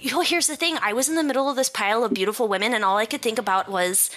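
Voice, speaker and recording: Minnesota girl on a voice chat, sharp bright tone, swears a lot and rambles. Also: on the high side, very fast. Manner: blunt, frequent swearing, rambling storytelling. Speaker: guest on a group voice chat. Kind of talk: casual chat.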